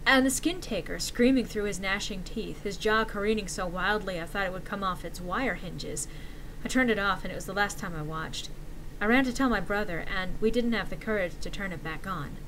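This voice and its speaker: Bright-voiced woman reading horror dramatically. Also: medium pitch, a little fast, slight background noise, rough recording. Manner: theatrical, expressive. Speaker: reader of a horror story. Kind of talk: dramatic reading of an internet forum horror story.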